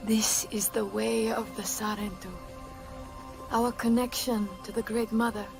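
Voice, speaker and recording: A woman's voice: fairly high pitch, little pitch movement, unhurried, vocal fry. An actress playing a resolute, spiritual young alien woman in a dramatic game cutscene. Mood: resolute, hushed. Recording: music behind the voice.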